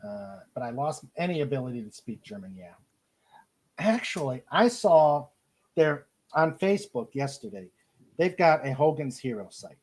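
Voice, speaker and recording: A man from Ohio with an American accent, hosting a casual live chat and often halting as he searches for words. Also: medium pitch, big pitch swings, a little mellow. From Cleveland, Ohio, United States. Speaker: podcast host. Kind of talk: casual live chat, reading out listener comments.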